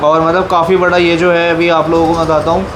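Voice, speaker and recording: A man's voice: a little high, quick pace. A man hosting a casual video interview in an agreeable, conversational way. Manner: agreeable, frequent short affirmations. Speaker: host and interviewer. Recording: echoey room with steady background noise.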